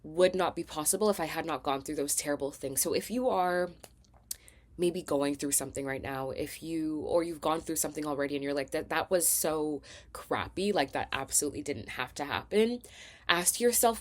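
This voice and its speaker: Low-pitched young woman, slightly congested. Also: brisk, a little mellow. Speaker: vlog host and talk show producer. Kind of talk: casual confessional self-help talk to camera.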